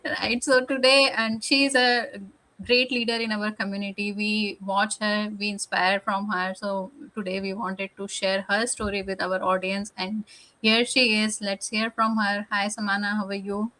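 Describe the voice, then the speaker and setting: Woman hosting a live call, friendly, kinda halting, uh here and there. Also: medium pitch, little pitch movement, sharp tone, low-quality recording. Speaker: host. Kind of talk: live online interview.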